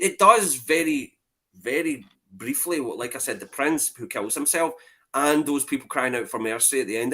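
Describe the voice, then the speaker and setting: A man's voice: mid-range voice, fairly bright tone. A man giving his opinions emphatically in a casual film chat, swearing freely. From Scotland.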